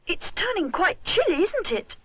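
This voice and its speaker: A woman's voice: very high voice, very animated, moderate pace, pushed and bright, no room sound, voice pushed up, exaggerated voice. A woman voicing a kindly, polite little boy bear in a children's story, childlike. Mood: friendly. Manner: polite, well-spoken, childlike.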